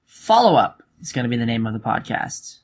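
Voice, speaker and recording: A man's voice: mid-range voice, very animated. Hesitant man in casual chat. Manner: hesitant, halting. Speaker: podcast co-host.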